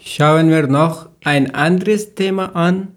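Man, from Afghanistan, learner German, slow and careful, slightly high voice. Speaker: podcast co-host. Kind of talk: casual chat, learner German.

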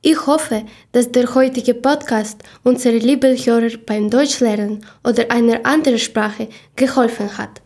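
A woman's voice: fairly high pitch, steady tone, a little slow, few pauses, a little mellow. A woman from Ukraine, hosting a podcast and carefully reading her lines from a script. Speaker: podcast host.

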